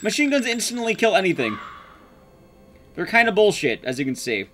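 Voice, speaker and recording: A man's voice: high voice, big pitch swings, normal speed. A man giving casual live commentary as he streams a speedrun, playful and sweary. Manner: sweary, playful, reacting to play. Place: indoor room at home. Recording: clear background noise, clicks.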